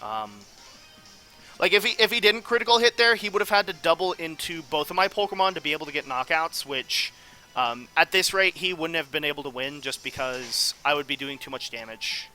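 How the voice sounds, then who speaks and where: Bright-voiced man commentating a game over music. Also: a little high, lively intonation, a little fast, low-quality recording. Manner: rambling, analytical, thinking aloud. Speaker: gaming video host. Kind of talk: casual off-the-cuff game commentary.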